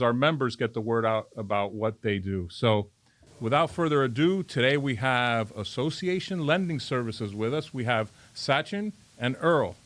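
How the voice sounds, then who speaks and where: A man's voice: medium pitch, fairly bright tone. Guy hosting a business interview, friendly, cracks jokes. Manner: friendly, joking. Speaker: host.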